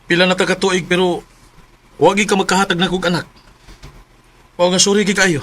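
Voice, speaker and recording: Higher-pitched, coldly apologetic man. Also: flat delivery, brisk, bright, forceful tone, slight background noise. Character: unfaithful boyfriend, apologetic yet coldly firm. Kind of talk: melodramatic radio drama acting.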